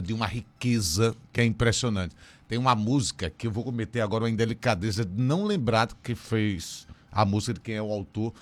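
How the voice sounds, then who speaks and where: A man from Northeastern Brazil with a regional accent, hosting a casual radio interview in an effusive, conversational way full of tag questions. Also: on the low side. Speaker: radio host.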